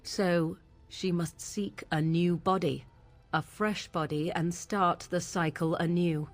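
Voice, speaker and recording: A proud young witch from a fantasy game, her voice on the low side. She speaks in solemn, old-fashioned phrases with brooding deliberation, over background music. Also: fairly even intonation. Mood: troubled. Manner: formal archaic diction, deliberate. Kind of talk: video game dramatic dialogue.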